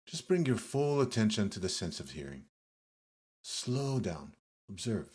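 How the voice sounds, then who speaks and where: Male meditation guide, low and a little breathy-rough, delivering yoga nidra instructions in measured, pause-filled phrases. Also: big pitch swings, a little mellow.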